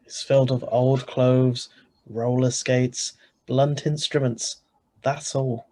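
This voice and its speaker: A man's voice: medium pitch, flat delivery, takes it slowly, warm tone, natural voice. A man playing a skeptical, exasperated husband who also narrates, reading a radio drama script in a wry, sighing manner. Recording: live video-call recording.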